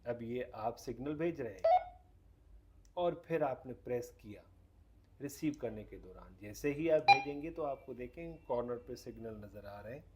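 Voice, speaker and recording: A man's voice: medium pitch, expressive, normal speed. A man hosting a casual, hands-on product demonstration, explaining things step by step. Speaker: host demonstrating a radio product.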